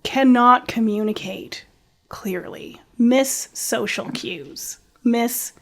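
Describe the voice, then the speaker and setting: A woman hosting a podcast, listening closely and chatting in a relaxed, conversational way. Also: fairly high pitch, slow. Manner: attentive, frequent "mm-hmm", laughs along. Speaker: podcast host. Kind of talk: casual interview chat.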